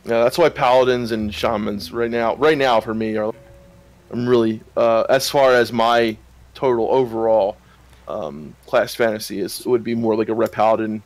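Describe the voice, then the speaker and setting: A man's voice: on the low side, steady tone, normal speed. A man co-hosting a casual gaming podcast, talking bluntly and swearing freely. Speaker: podcast co-host.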